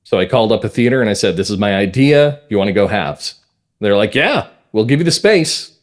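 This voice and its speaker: A man's voice: lowish voice, sing-song, a little fast. A man chatting casually as an interview guest, telling anecdotes about his creative work with enthusiasm. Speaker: podcast guest, audio drama writer and producer.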